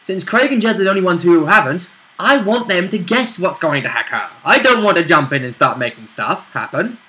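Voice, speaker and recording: A man's voice: high-pitched, lively intonation, a little fast, sharp tone. A man chatting casually as a tabletop game player, bantering and interjecting. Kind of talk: casual chat.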